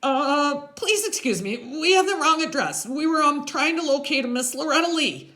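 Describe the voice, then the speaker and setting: A small, anxious, clever boy from an audio novel, put on by a woman narrator. His voice is high and chattery, and he stammers through wordy, overly polite phrases. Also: expressive, normal speed, sharp tone. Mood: flustered politeness. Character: small, nervous, brainy boy with formal politeness.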